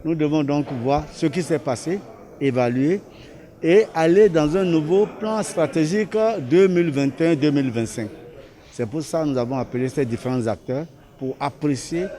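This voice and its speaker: A man's voice: a little high, mellow. A man with a Beninese accent, an association official, giving a statement in a news interview.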